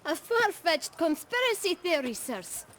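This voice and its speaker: Woman as very high-pitched cheeky street boy. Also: sing-song, measured pace, a bit bright, a little hiss or hum, full character voice. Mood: defensive, cheeky. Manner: glib, eager, mock-polite. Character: cheeky young bootblack boy, wily street hustler. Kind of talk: video game cutscene acting.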